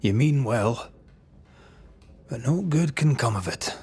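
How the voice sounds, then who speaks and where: Solemn mid-pitched male ghost hunter. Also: lively intonation, darkish tone, slightly rough, full character voice. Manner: deliberate, interrogating, authoritative. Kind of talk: dramatic video game acting.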